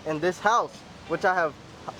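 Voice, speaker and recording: A man reading scripture aloud in a halting way, stumbling on a few words. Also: sits high, bright, forceful tone, poor audio. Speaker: scripture reader.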